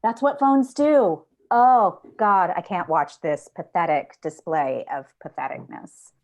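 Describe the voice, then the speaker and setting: A woman playing a scornful, sharp-tongued office coworker with biting sarcasm in a sitcom table read. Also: lowish voice, unhurried. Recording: dull lo-fi video call.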